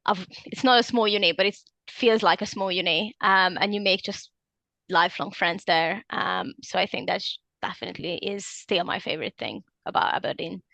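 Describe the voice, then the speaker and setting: A woman's voice: fairly low pitch, moderate pace, vocal fry, sharp tone, dry recording. A woman in her twenties from Hungary, speaking, answers interview questions casually and hesitantly, with many ums and self-corrections. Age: 20s. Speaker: alumna interviewee.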